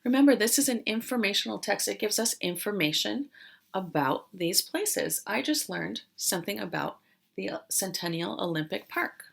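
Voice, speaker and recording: A woman teacher doing an interactive read-aloud for young children, explaining as she goes and pausing after questions. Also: mid-range voice, lively intonation, creaky. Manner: questioning, explanatory, with pauses for answers.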